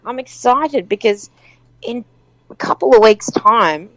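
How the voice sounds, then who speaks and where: A woman producer joining a casual chat, playful and talkative, a little husky this evening. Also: on the high side, animated, measured pace, dry recording, poor audio. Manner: chatty, playful. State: husky voice tonight.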